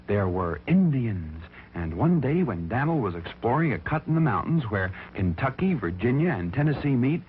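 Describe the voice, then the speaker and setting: A man tells a story for children with emphatic, folksy drama. His medium-pitched voice swings widely in intonation. The recording is old and narrow, like a telephone line, with music playing behind him. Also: very animated, normal speed, slight background noise. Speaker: narrator. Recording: thin, phone-like vintage recording with music behind.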